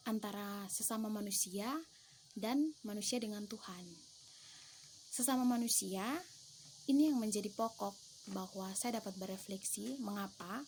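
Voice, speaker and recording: Measured young woman student, mellow voice. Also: a little high, a little hiss or hum. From eastern Indonesia. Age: young adult. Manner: careful, measured, with hesitations and restarts. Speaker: theology student. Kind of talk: reflective class presentation.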